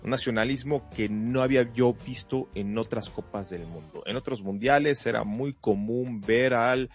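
Mexican man, Mexican Spanish accent, measured sports co-host, faint music behind. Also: medium pitch, highs cut off. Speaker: show co-host. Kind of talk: casual sports chat.

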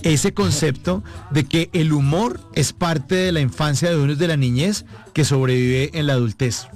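A man's voice: on the high side, moderate pace, a little mellow, faint music behind. A man with a Colombian accent hosting a conversational radio interview, appreciative and affirming toward his guest. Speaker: radio show host.

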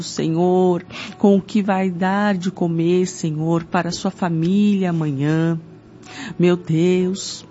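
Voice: medium pitch, a little mellow, slight background noise. A Brazilian prayer leader pleading fervently in an extemporaneous evangelical prayer, punctuated by repeated invocations. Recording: dull lo-fi sound with music behind. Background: music.

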